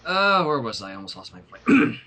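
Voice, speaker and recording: High-voiced man narrating a story. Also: very animated, brisk, pushed and bright. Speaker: fan fiction reader and livestream host. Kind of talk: story narration with casual asides.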